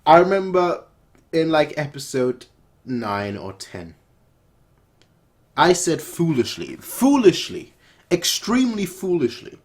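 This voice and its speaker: A man giving casual, excitable reaction commentary over a video, often repeating himself for emphasis. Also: a little high, takes it slowly, pauses often, husky.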